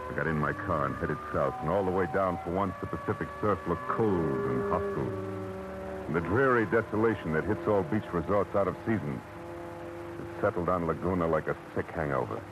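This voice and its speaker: An actor playing a world-weary American private detective, narrating in clipped, slangy 1940s radio noir style. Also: male voice, low voice, expressive, fairly quick, dull audio. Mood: brooding. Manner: hard-boiled, clipped, slangy. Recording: old radio drama with music bed.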